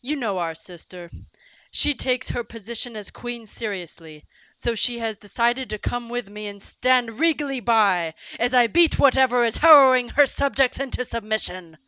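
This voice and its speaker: Woman playing a bright, bold warrior sister. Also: on the high side, normal speed, dry recording. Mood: boastful, amused. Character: bold, battle-loving warrior sister, protective and hearty. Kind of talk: fantasy audio drama acting.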